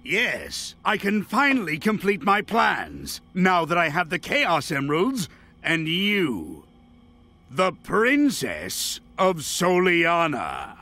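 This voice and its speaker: A man's voice: on the high side, sharp tone, exaggerated voice. A man playing a gloating, pompous middle-aged mad-scientist villain in a video game cutscene. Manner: pompous, grandiose, menacing.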